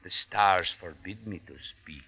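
Pompous, sharp-voiced phony mystic prince. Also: male voice, deep voice, unhurried, slightly rough, full character voice, rough recording. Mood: grandiose. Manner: grandiose, formal, theatrical declamation. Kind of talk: 1950s-style radio drama acting. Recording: vintage radio recording.

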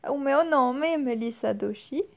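Young woman, French accent, interview guest, high voice, unhurried, stops to find words. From northern France. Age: 20s. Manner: hesitant, searching for words. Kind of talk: slow, careful speech with many pauses and fillers.

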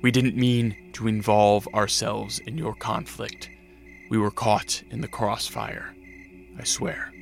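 An actor playing a grave, brooding vampire who also narrates a radio drama in a measured way. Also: male voice, on the low side, level tone, creaky, soft background music. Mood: grave, guarded.

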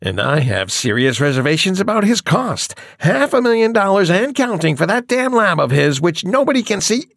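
A man playing a gruff, skeptical executive in a campy audio drama, blunt and grumbling. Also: high voice, very animated, normal speed, few pauses, a bit bright, no echo, clean studio sound, full character voice. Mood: indignant. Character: gruff, skeptical male executive watching the money.

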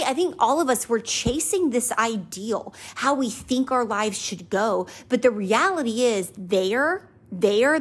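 A woman's voice: fairly high pitch, slightly rough. A woman in her twenties hosting a podcast, talking earnestly and confessionally about gratitude and faith. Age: 20s. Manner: earnest and confessional, voices her own doubts in a mocking lilt. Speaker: podcast host. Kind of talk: personal faith reflection monologue.

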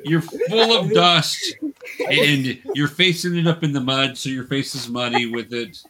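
A man's voice: a little high, measured pace, very noisy. A man acting as game master, patiently narrating and explaining the rules of a tabletop role-playing game to beginners.

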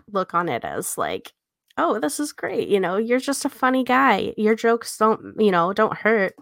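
A woman's voice: fairly low pitch, fairly bright tone, no room sound. Woman chatting casually, very dry close sound. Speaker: podcast co-host. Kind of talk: casual book discussion, personal reflection.

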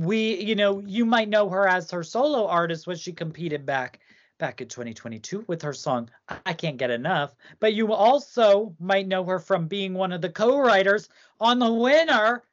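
Low-voiced chatty woman podcaster. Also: lively intonation, normal speed, dull audio. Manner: chatty, rambling, heavy use of "like". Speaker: podcast co-host. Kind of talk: casual chat about song contests.

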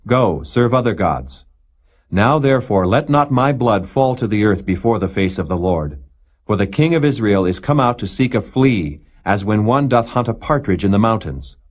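A man's voice: on the low side, darkish tone. Man voicing an earnest, emphatic ancient warrior. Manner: formal, archaic, emphatic oratory. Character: earnest humble ancient Israelite warrior pleading his innocence.

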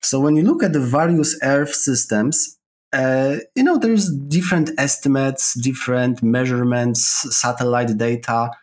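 A man's voice: medium pitch, normal speed. A man from Poland with a Polish accent, a podcast guest who explains his views with examples on a call. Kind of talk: casual interview chat. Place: remote call.

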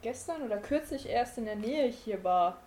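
A woman's voice: on the high side, lively intonation, normal speed, darkish tone, a little hiss or hum. A woman playing a tabletop game in character, talking in a chatty, rambling way. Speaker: player. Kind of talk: in-character roleplay chat.

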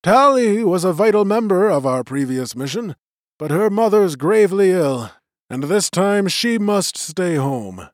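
A man voicing a wise old male magician who speaks with measured, kindly authority to a council. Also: high-pitched, big pitch swings, dry recording, clean studio sound, voice pushed up. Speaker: elder magician leading a council.

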